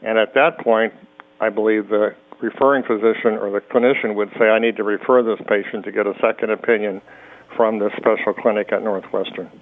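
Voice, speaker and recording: A male urologist explaining clinical practice in a calm, measured way during a medical podcast interview. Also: lowish voice. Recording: tinny phone-like remote audio.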